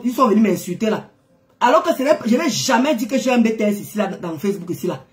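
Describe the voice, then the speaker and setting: A woman with a head cold, chatting casually on a live stream, greeting viewers, teasing and exclaiming with frequent laughs. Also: a little high.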